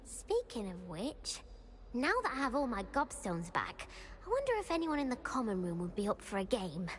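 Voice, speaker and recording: A woman's voice: a little high, very animated, moderate pace. A woman acting an eager, competitive schoolgirl witch in a video game, with a British accent and formal, theatrical phrasing. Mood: delighted, gloating. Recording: clear background ambient noise.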